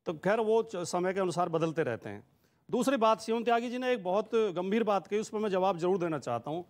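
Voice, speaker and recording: A man's voice: sits high, animated, brisk. A man arguing as a party spokesman in a TV debate, emphatic and fond of repetition. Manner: argumentative, emphatic, builds points by repetition. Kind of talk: televised political debate argument.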